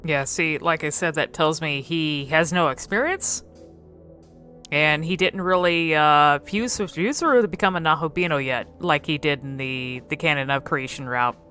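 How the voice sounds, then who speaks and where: A woman with a low but bright, forward voice commentates casually on a game. She muses as she goes, halting and thinking aloud, with faint music behind her. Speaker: gaming video creator.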